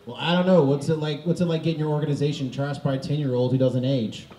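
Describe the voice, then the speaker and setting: A man's voice: fairly high pitch, fairly even intonation, quick pace, warm tone. A man on a fan convention panel, ribbing the others in playful banter. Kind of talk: casual banter. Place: large convention hall with audience. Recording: echoey hall, far off, crowd noise.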